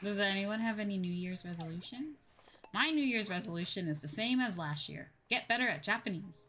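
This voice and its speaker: A woman's voice: medium pitch, lively intonation, fairly quick, a bit bright, slight background noise. A woman livestreamer chatting casually and informally, thinking aloud as she goes. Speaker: livestreamer and VTuber host.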